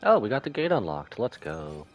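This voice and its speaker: Low-voiced, animated male game streamer. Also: brisk, soft background music. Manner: slangy, offhand reactions. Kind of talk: casual live gameplay commentary.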